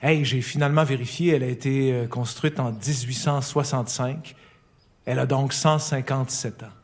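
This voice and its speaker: Man, Quebec French accent, mid-pitched voice, intimate unhurried letter reading. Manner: calm, reflective, intimate. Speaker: letter writer. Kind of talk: reading a personal letter aloud. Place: recording studio. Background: faint music.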